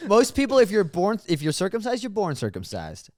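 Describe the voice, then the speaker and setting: A man's voice: high-pitched, huge pitch swings, a little fast, no room sound, clean studio sound. A young man co-hosting a podcast, chatting casually and joking, often laughing. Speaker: podcast co-host. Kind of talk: casual chat.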